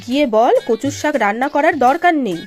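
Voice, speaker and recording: Sharp-tongued cartoon housewife, capable and exclamatory, high voice with music underneath. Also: female voice, lively intonation, fairly quick. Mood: exasperated. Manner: scolding, sarcastic, exclamatory. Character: sharp-tongued, bossy but capable young village wife in a cartoon. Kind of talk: animated cartoon dialogue. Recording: music behind the voice.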